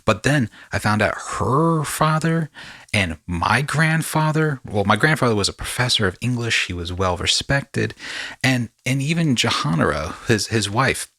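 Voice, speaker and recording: A man's voice: medium pitch, lively intonation, unhurried, sharp tone, no room sound. A man hosting a podcast, talking in a casual, rambling storytelling way with slangy asides. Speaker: podcast host.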